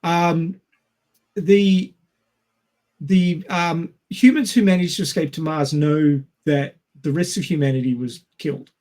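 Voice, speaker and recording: A man's voice: fairly high pitch, steady tone, a little slow, darkish tone. A man co-hosting a casual film discussion, explaining his points and posing rhetorical questions. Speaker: podcast co-host.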